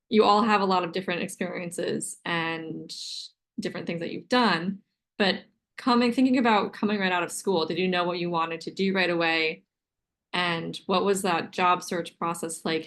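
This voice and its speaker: A woman hosts an online panel, friendly and a little halting, with frequent ums. Her voice sits on the low side, heard over a video call. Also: a bit bright. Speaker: panel moderator.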